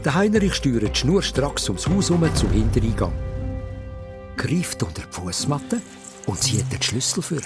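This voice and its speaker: A man's voice: medium pitch, big pitch swings, measured pace, music in the background. A man with a Swiss German accent narrating a children's radio play in a dramatic storytelling style.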